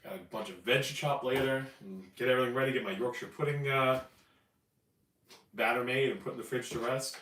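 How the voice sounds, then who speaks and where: Raspy man hosting a live cooking stream. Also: mid-range voice, fairly quick, fairly bright tone. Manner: upbeat, chatty, explains steps as he cooks. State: raspy, voice cracking after flu. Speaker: home cooking channel host. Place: home kitchen. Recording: echoey room, distant mic, faint noise. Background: kitchen clatter, hot plate.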